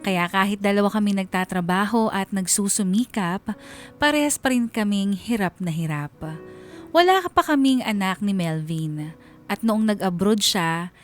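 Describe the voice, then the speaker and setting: A woman's voice: mid-range voice, moderate pace, soft background music, natural voice. A woman playing an aggrieved married schoolteacher, narrating her family troubles as a confession in a radio drama. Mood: aggrieved, reflective.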